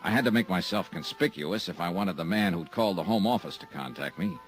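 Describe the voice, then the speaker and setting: A man's voice: sits low, expressive, brisk, faint music behind. Wry hard-boiled man narrating a radio drama. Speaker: insurance investigator and narrator.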